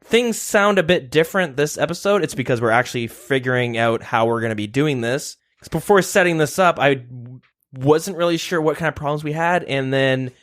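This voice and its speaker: A young man hosting a podcast in a relaxed way, leading the talk and reading out news. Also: a little high, moderate pace. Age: young adult. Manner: steady, runs the show and leads topics. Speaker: podcast host. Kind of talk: casual chat, reading news. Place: shared room, two mics.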